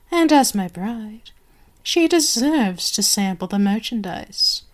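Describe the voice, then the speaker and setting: A woman's voice: medium pitch, darkish tone. A woman playing an imperious, sadistic vampire queen in an ASMR roleplay, formal and commanding, seductive and possessive as she savors each phrase. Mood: dominant, taunting.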